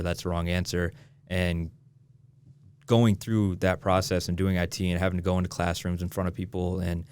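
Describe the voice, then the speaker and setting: A man's voice: low voice, darkish tone, clean tone. A young man from Michigan, a real estate agent, chatting casually as a podcast guest and swearing now and then. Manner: laid-back, swears casually. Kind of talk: casual interview chat.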